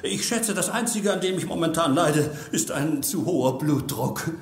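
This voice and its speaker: Flustered, high-voiced eccentric scientist. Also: male voice, expressive, normal speed, roomy sound. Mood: flustered, chatty. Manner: hesitant, rambling, formally polite. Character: eccentric, devoted male scientist, easily sidetracked. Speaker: voice actor in an audio drama. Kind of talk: German audio drama acting.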